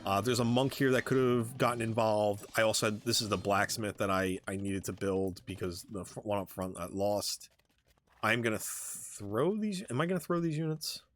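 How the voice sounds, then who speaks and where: A man giving casual, halting commentary on his own strategy game match, thinking aloud as he plays. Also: on the low side. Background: background music.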